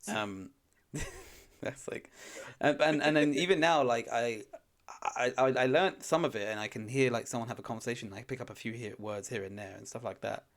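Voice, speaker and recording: A man's voice: medium pitch, normal speed. A man co-hosting a podcast, chatting casually, often stammering at the start of sentences and laughing as he talks. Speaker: podcast co-host. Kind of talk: casual chat.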